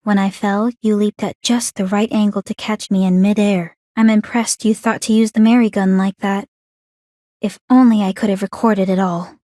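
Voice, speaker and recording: An actress voicing a calm, clinically curious young woman in a video game, speaking in a formal, measured, coolly teasing way. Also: medium pitch, no room sound, clean studio sound, close to an everyday voice. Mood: composed, appreciative. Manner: composed, measured, formal, inquisitive. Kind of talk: scripted video game character voice lines.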